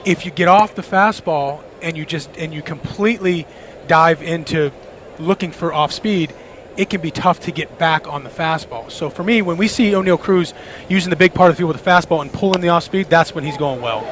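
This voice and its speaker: A man's voice: on the high side, fairly quick, fairly bright tone, slight background noise. A man giving conversational baseball analysis as a radio color commentator, rambling as he thinks aloud. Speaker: color commentator, former player.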